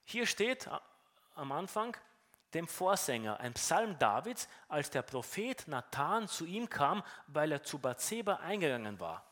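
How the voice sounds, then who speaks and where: A man's voice: on the high side, big pitch swings, measured pace, fairly bright tone. A man with a Romanian accent preaching a sermon in an earnest teaching tone, often repeating words as he restarts.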